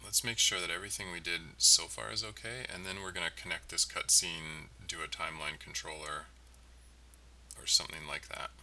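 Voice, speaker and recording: A man giving casual commentary as he codes on a livestream, thinking aloud in halting phrases. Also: sits low. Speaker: game developer, livestream host. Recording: clearly noisy, distant mic, keyboard clicking. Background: typing, mouse clicks, steady noise.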